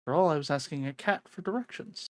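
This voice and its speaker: Expressive streamer with swooping, dry close-up sound. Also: mid-range voice, quick pace, no echo. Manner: expressive, excitable, sweary. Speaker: streamer playing and reading a visual novel aloud. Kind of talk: dramatic read-aloud with casual profane reactions.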